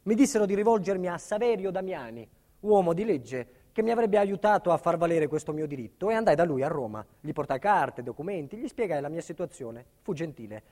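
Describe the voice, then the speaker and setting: A man's voice: on the high side, big pitch swings, brisk, mellow. A man playing a defiant, indignant building contractor, delivering an emphatic theatrical monologue about his ordeal. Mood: earnest recounting. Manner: emphatic, dramatic storytelling. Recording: reverberant hall with long echo.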